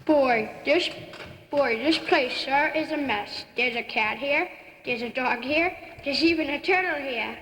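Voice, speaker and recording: A woman voicing a gentle young vet's assistant in a live puppet show, speaking slowly in halting, simple phrases. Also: high-pitched. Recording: echoey hall, faint noise.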